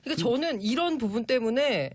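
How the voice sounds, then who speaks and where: Measured young woman reporter. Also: a little high, very animated, no echo. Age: young adult. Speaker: reporter panelist. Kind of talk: casual political talk.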